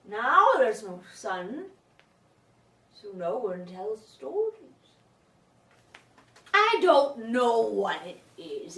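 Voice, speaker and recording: A woman playing a wistful, dreamy villager in a theatrical monologue, halting as she searches for words. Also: high-pitched, exaggerated voice. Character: wistful, dreamy female villager longing for brighter days. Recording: echoey room, far from mic.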